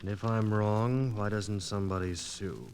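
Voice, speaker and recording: An actor playing a cold, threatening racketeer boss with a curt, commanding manner in a 1940s radio crime drama. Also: male voice, on the low side, normal speed, lightly acted. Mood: irritated, scornful. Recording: noisy 1949 radio transcription disc.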